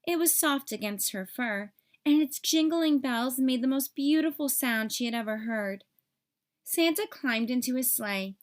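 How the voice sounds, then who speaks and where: A woman with a fairly high voice narrates a storybook for young children. She reads expressively, in the manner of a read-aloud storyteller. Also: lively intonation, unhurried.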